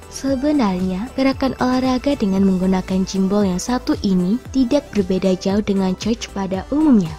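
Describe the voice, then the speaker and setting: A woman reading scripted instructional narration in a measured, clearly enunciated way. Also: medium pitch, mellow. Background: background music.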